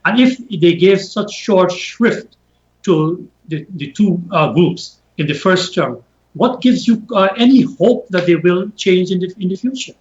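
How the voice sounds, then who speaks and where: A man's voice: high-pitched, unhurried. A man on an online panel discussion, asking pointed, skeptical questions. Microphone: video call. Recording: echoey room, dull call audio.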